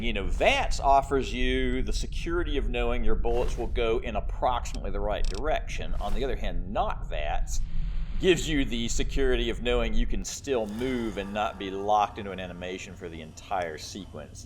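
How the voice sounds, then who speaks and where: Low-voiced man giving gameplay commentary. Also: moderate pace. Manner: explains his tactics as he plays. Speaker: let's play host. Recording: clear game noise behind voice. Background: video game sound effects.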